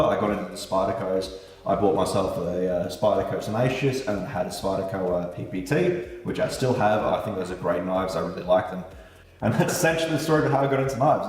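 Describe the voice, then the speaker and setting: A man's voice: on the low side, fast, darkish tone. A man who grew up speaking German first, a knife maker chatting casually to his viewers in a laid-back way with the odd swear. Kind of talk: casual vlog talk to viewers. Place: room with a light set up. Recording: echoey room, mic far off.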